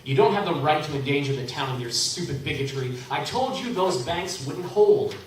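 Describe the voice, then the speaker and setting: A man playing a blunt, practical traveling tinker in a live radio play, breaking into loud angry shouts. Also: on the high side, animated, normal speed, lightly acted. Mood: furious. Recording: echoey hall from far off, background noise.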